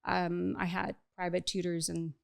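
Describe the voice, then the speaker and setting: A middle-aged woman from Bulgaria, raised abroad, speaking thoughtfully and in a measured way as an interview guest. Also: lowish voice, flat delivery, creaky, smooth, no room sound, studio quality. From Bulgaria, raised in Iraq and Cuba. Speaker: podcast guest, agency chief executive. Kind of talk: reflective interview conversation.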